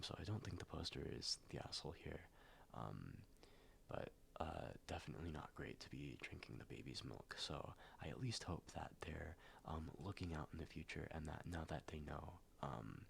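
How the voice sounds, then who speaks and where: A young man with an extremely deep, gravelly voice murmurs in a soft-spoken ASMR style, slightly nasal and stuffed up from a cold. He hums and ums through his words and often drops into a whisper, with a faint hiss underneath. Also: animated. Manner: soft, slow, many ums and likes, drifting into whispers. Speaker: ASMR YouTuber.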